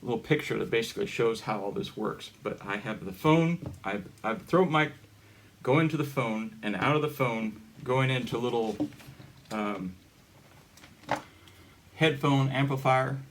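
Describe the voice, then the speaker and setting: A man presenting a hobby gear tutorial in a casual, unscripted way, with halting, rambling explanations. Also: mid-range voice. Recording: noticeable background noise with clicks.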